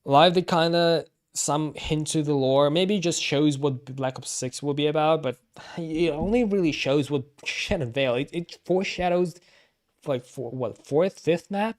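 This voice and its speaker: A man giving casual live stream commentary, thinking aloud haltingly and repeating himself. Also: on the high side.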